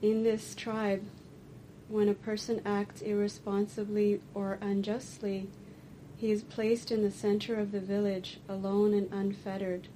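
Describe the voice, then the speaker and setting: A woman teaching a guided meditation, speaking deliberately in short phrases with pauses between them. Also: mid-range voice, completely flat delivery, mellow, a little hiss or hum, rough recording. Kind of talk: guided meditation and dharma talk.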